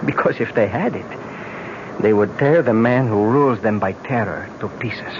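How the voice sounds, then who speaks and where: An actor playing an earnest young exiled Caribbean prince in an old radio drama, grave and formal. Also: male voice, lowish voice, moderate pace, close to an everyday voice. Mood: grave, proud. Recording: muffled, noisy old radio broadcast.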